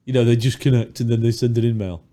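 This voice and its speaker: Man, hoarse, quick, mellow tone, chatty podcast host. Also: mid-range voice, fairly even intonation. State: hoarse, sore throat. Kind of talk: casual chat. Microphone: studio mic with pop filter.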